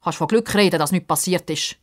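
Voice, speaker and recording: A woman's voice: lowish voice, brisk, close to an everyday voice. A woman voicing a grumpy, blustering old man in a children's story. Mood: angry, scolding. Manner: blustering, scolding, muttering. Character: grumpy, easily startled old man, cursing and grumbling.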